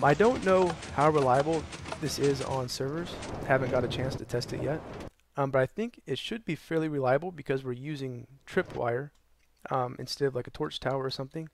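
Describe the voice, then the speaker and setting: A young man narrating a gaming tutorial while losing his voice, hoarse and tired, rambling with many ums and uhs. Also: a little hiss or hum. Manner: tired, low energy. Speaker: gaming channel host. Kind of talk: casual tutorial narration over gameplay.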